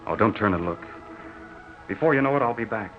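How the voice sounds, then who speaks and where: A man's voice: lowish voice, expressive, a little fast, lightly acted. An actor playing an earnest, lovelorn young farm-raised soldier, telling his story in a folksy, solemn way in 1940s radio drama style. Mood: tender. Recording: muffled old radio recording with music. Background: dramatic music.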